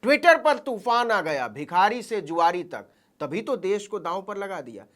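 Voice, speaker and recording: Lively, mocking woman news commentator. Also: medium pitch, measured pace. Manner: mocking, theatrical delivery. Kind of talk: satirical political commentary.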